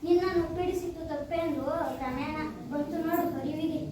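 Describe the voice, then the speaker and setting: Casting: Krishna, child plays a warm noble young king, very high voice, booming echoey hall, distant mic. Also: brisk, slight background noise. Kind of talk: school stage play.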